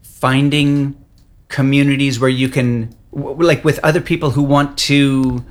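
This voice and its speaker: A man from Canada with a Canadian accent, answering interview questions about learning English in a relaxed, encouraging way. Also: medium pitch, a little slow. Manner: relaxed, encouraging, fillers like "you know". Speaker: English school head.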